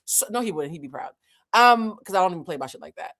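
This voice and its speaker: A woman whose first language is French tells a casual story to her viewers. She is animated and profane, and she talks straight to her audience. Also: fairly low pitch, fast talker. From Boston. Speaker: channel host.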